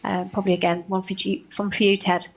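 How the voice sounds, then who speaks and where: A woman's voice: medium pitch, flat delivery, quick pace, breathy edge, muffled recording. Female panel moderator, polite, hesitant, reads audience questions.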